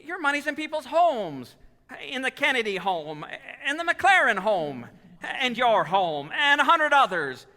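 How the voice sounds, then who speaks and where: An actor playing an earnest, excitable young building and loan man in old radio style, with a stammering, pleading, folksy manner. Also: very high voice, a little slow, full character voice. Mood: urgent, reassuring. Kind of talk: 1940s radio acting on stage. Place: theatre stage. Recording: echoey hall, live stage taping.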